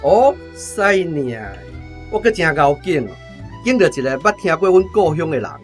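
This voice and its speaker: Man playing a blustering crude army sergeant, very animated. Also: fairly high pitch, moderate pace, faint music behind, close to an everyday voice. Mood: pleased. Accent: Taiwanese. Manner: blustering, swearing, coarse. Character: crude, foul-mouthed, fat, lazy male army sergeant.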